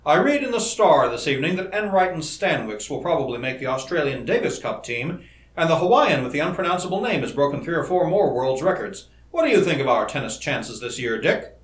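A man voicing a shrewd lawyer father of fifty in a storybook, dry, measured and mock-stern. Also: on the high side, sing-song, pitched above the performer's own voice. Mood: casual, steering. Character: shrewd gray-haired lawyer father of fifty, dryly evasive. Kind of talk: old-fashioned storybook dialogue. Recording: roomy, echoing indoor recording.

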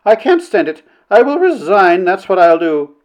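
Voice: medium pitch, sing-song, brisk, a little mellow, pitched above the performer's own voice, close to an everyday voice. A narrator voicing a self-important, quarrelsome woman in a story, declaiming with haughty, melodramatic indignation. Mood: tearful self-pity.

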